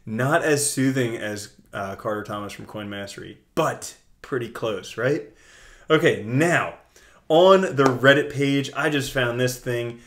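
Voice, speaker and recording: A young man hosting a casual video, talking through his screen in a chatty, jokey way with big swings in loudness. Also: mid-range voice, measured pace. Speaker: crypto video host. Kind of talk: casual screen-share commentary.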